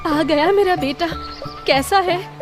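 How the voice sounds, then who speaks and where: Cartoon story role, female actor: fond, worried rural mum, high voice, music bed underneath. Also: takes it slowly. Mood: fond, fussing. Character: fond, worried village mother of grown son.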